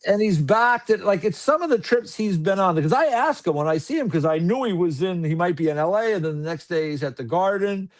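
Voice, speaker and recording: A man who calls hockey games, chatting casually on a podcast in a rambling, filler-heavy, animated way. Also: high-pitched, a little fast, hardly any pauses. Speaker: hockey broadcaster guest.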